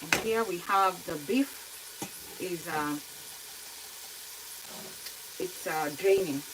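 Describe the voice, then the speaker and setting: A woman with a Kenyan accent giving a casual cooking demonstration to viewers, explaining step by step in a halting, unhurried way. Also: lowish voice. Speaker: home cook hosting a cooking tutorial. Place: kitchen, indoors. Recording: noisy kitchen, sizzling frying sounds. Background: frying sizzle, stirring, clicks.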